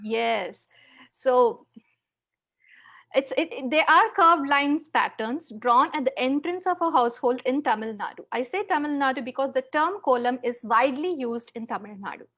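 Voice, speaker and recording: A woman with a South Indian accent presenting an interactive online lesson, halting and often repeating words as she explains. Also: high voice, expressive, fairly bright tone. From Chennai, South India. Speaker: teacher, online conference presenter. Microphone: video call.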